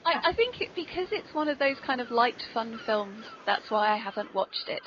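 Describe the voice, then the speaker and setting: An English woman hosting a podcast interview, chatting playfully with polite, theatrically expressive phrasing. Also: a little high, moderate pace, a little hiss or hum. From Cornwall, England. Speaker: podcast host interviewing an author. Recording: dull, lo-fi early podcast recording.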